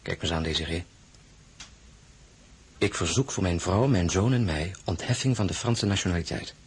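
An actor playing a proud, insistent and tender husband, a marshal turned crown prince, coaxing deliberately in an audio drama. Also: male voice, sits low, animated, full character voice. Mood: earnest, insistent. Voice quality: husky. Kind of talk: Dutch audio drama acting.

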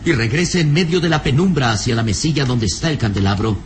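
A man narrating an old radio serial in a dramatic, deliberate, suspenseful scripted style. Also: medium pitch, noisy background.

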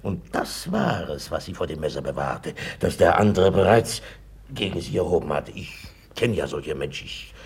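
A man's voice: low-pitched, lively intonation, normal speed, creaky, husky, exaggerated voice. An actor playing an obsequious, scheming male landlord in a 1950s radio play, gushing and conspiratorial. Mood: fawning.

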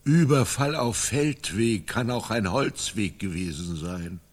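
Wounded old-world knight, husky, slow, pedantic, dazed, radio drama. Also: male voice, fairly low pitch. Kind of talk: German radio drama acting.

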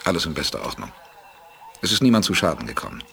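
Brisk, commanding spaceship leader, lively delivery. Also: male voice, low-pitched, creaky, slight background noise, full character voice. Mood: firm, businesslike. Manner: brisk, authoritative. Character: commanding, self-assured male spaceship commander. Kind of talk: 1980s German audio drama acting.

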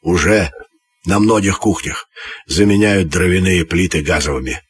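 Ancient female crow for a storybook tale, played by a man: low, husky, knowing, schooling the young. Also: normal speed. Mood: knowing, patronizing. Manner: knowing, instructive. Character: two-hundred-year-old female crow, knowing and unhurried explainer.

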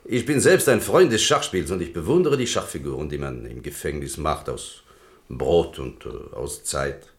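Suave French-accented prison director, deep, animated. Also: male voice, moderate pace. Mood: courteous, admiring. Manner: polite, hesitant, mixes in French phrases. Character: courteous, evasive prison director from Lorraine, chess lover. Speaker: actor in a radio drama. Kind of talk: German radio play acting.

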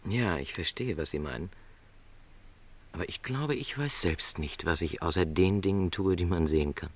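An actor playing a gentle, lonely middle-aged travelling salesman in a literary radio drama, speaking in a measured, reflective, hesitant way. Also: low-pitched, expressive. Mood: resigned, thoughtful.

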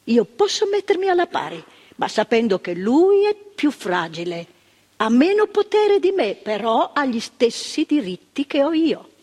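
A thoughtful woman who worked in a psychiatric hospital, speaking. Her voice is husky and breathy, and her pitch rises and falls a lot. It sounds like a theatre stage with a long, ringing reverb. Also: sing-song, unhurried, a little mellow, exaggerated voice. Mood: reflective. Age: older. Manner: reflective, searching, repeating words for weight. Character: earnest woman psychiatric worker recalling the past. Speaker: actress in a stage monologue. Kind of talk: theatrical monologue.

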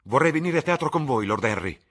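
A man voicing an eager, naive young man in an audiobook, with a husky voice. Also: medium pitch, lively intonation, quick pace, fairly bright tone, voice pushed up. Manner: eager, hesitant. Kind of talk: audiobook character voice.

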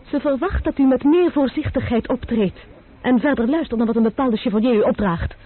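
A woman's voice: a little high, fairly quick, breathy edge. An actress playing a stern, composed young female messenger in a radio play, with a commanding, urgent delivery. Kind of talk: vintage radio play acting. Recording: tinny lo-fi old radio broadcast.

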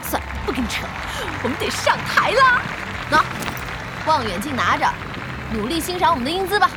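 A woman playing an excitable, blunt young gamer and devoted fan in an audio drama. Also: sits high, a little fast, very noisy. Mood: teasing. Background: music.

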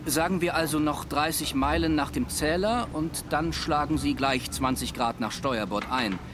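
A man's voice: a little high, normal speed, natural voice. Guy as smug thirtysomething ship captain, clipped and bossy, radio play, noisy background. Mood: businesslike. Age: 30s. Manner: commanding, condescending, clipped. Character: proud, self-satisfied sea captain in his thirties. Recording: clear background noise, vehicle-like ambience.

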